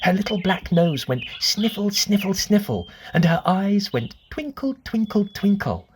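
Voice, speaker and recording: A woman's voice: medium pitch, sing-song, slight background noise. A woman storyteller narrating a children's bedtime tale expressively, drawing out repeated words for dramatic effect. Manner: deliberate, dramatic, drawn-out repetitions.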